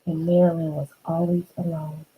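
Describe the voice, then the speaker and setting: A woman reading a children's storybook aloud, unhurried, with dramatic emphasis and chatty asides to the listener. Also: sits low, level tone, phone-quality sound, heavy background noise.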